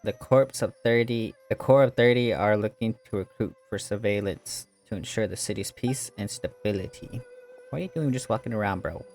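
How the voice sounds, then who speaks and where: A man hosting a gaming video, casually commenting and reading dialogue aloud in a halting way. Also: on the low side. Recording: game music playing underneath.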